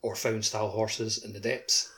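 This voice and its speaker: A man co-hosting a casual gaming podcast, talking haltingly and repeating himself with lots of filler. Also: fairly low pitch, little pitch movement, fairly bright tone. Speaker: podcast co-host.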